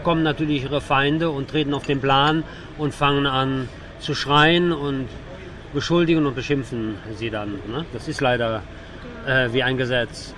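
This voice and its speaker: A man's voice: medium pitch, steady tone, moderate pace. A man working as a radio host, asking questions in a casual on-location interview.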